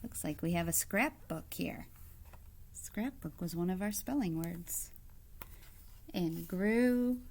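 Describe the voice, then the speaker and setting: A woman's voice: on the low side, expressive, takes it slowly, pauses often, a little mellow, slight background noise. A woman reading a picture book aloud like a teacher, explaining as she goes and putting simple questions to young listeners.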